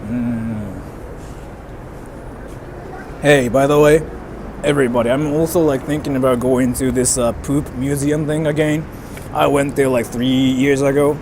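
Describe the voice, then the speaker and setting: A man's voice: mid-range voice, steady tone, unhurried, long pauses. Chatty young man streaming from the street. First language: bilingual English and Japanese. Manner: chatty, rambling, reads out viewer comments. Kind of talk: casual live-stream chat. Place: outdoors on a city street. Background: faint street and traffic noise.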